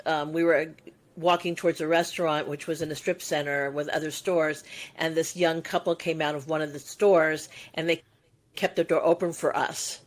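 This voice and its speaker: A woman in her sixties with a notably low voice talks through her thoughts in long, winding sentences. She muses reflectively, in a casual podcast chat. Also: flat delivery, moderate pace. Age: 60s. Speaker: podcast co-host.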